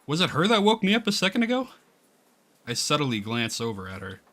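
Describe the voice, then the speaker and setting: A man voicing a sleepy, embarrassed high school boy who narrates a visual novel in a hesitant, self-conscious way. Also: wildly swooping pitch, brisk, pushed and bright, exaggerated voice. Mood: subdued, embarrassed. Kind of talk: visual novel read-aloud.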